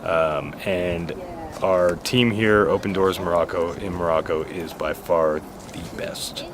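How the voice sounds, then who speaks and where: A man from the United States speaking as a delighted tour guest. His voice sits low and he talks in an eager, chatty way. Traffic and street noise run clearly behind him outdoors. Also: steady tone, slow pace. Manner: enthusiastic, chatty. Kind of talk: travel testimonial.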